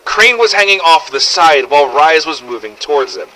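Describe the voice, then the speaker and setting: Guy reading a first person survival log, forceful bright voice, dramatic and sweary. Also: on the high side, lively intonation, moderate pace, low-quality recording. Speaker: narrator of fan-made game audio logs. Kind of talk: scripted first-person story narration in character.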